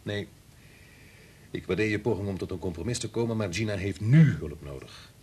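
Husky, domineering scientist, condescending. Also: male voice, fairly low pitch, animated, brisk, a little mellow. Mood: coolly persuasive. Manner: insistent, condescending. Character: domineering male scientist husband, coolly persuasive, scornful. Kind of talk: Dutch radio play acting.

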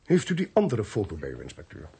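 An actor playing a brisk, inquisitive police detective who questions deliberately in a radio play. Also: male voice, lowish voice, wildly swooping pitch, gravelly, mellow, slightly rough, exaggerated voice. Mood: polite, probing. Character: inquisitive male detective leading an arson investigation. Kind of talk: Dutch radio play acting. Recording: faintly noisy radio play recording.

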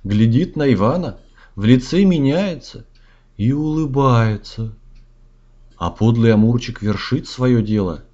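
A man's voice: mid-range voice, animated, measured pace, dark tone. A man narrating a comic fairy tale in rhyme, with a performed storyteller delivery. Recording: dull sound with music. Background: music.

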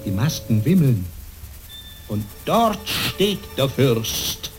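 A man's voice: medium pitch, very animated. An actor playing a menacing male dream spirit, a tormenting evil conscience, in theatrical radio play style with a commanding, incantatory delivery. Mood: urgent, goading. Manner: slow, commanding, incantatory, with hissed whispers. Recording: dramatic music behind the voice.

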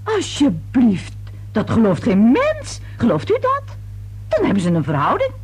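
A gossipy middle-aged neighbour in a radio drama. Her voice is very husky and swings wildly up and down. She confides and insinuates with great self-importance. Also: fairly high pitch, wildly swooping pitch, a little slow, darkish tone, full character voice. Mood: prying, scandalised. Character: gossipy, twice-married older neighbour woman, nosy and self-important. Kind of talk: Dutch radio play acting.

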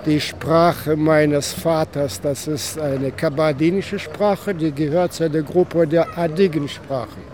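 A man, an Abaza speaker, explaining things haltingly as a local guide in an interview. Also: on the high side, steady tone, a little mellow, slight background noise. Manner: halting, searching for words.

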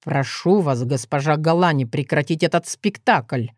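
A woman's voice: sits low, normal speed, no room sound, clean studio sound, close to an everyday voice. A woman voicing a restrained, exasperated male dragon lord who speaks formally and through gritted teeth in an audiobook story. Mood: restrained irritation.

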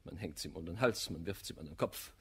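An actor playing a proud, blunt misanthropic gentleman in a classic verse radio comedy, declaiming with emphatic scorn. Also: deep voice, fairly quick, slightly rough, full character voice. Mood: scornful.

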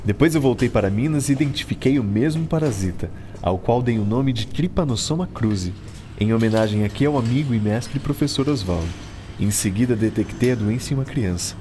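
An actor playing a modest, enthusiastic early twentieth-century scientist in a radio drama, with a Brazilian accent and a formal, didactic manner. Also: male voice, mid-range voice, animated, brisk, warm tone, lightly acted. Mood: proud, engrossed. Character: modest, enthusiastic early-1900s male scientist explaining discoveries. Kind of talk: educational radio drama acting. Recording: noisy ambience behind studio radio drama.

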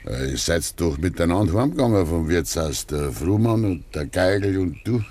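An actor playing an older, slow and brooding farmer in a Bavarian dialect radio play from the 1950s, musing in drawn-out phrases. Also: male voice, low voice, expressive, a little mellow. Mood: musing, wistful. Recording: 1950s radio recording, faint noise.

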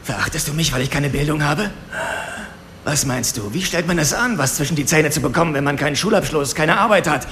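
An actor playing a cocky, self-pitying street criminal in a radio drama, whining and defiant. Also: male voice, fairly high pitch, lively intonation, brisk, a bit bright. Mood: self-pitying, mocking. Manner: whining, defiant, swinging in emphasis. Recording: long reverb, faint background noise.

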